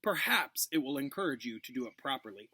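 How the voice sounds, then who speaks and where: A man reads as a cold, sneering schoolmaster from a children's fantasy book. He sounds strict and menacing, leaning hard on his words with big pitch swings. The sound is close and dry. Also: animated, bright, forceful tone, husky, no room sound, exaggerated voice. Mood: cold, scornful. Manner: cold, commanding, drawn-out emphasis.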